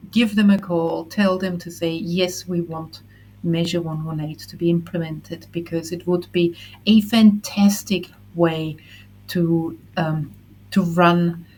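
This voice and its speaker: A woman's voice: on the low side, a little mellow, low-quality recording. A woman presenting at an online community meeting, talking earnestly and upbeat, with frequent restarts. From not Switzerland. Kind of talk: casual online community talk.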